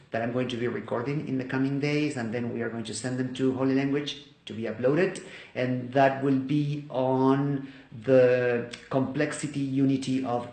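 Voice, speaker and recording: A man whose first language is Spanish speaks casually to the camera about his study videos. His delivery is halting, full of fillers and restarts, at an ordinary mid pitch. The room around him is echoey. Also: a little mellow. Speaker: Bible study teacher.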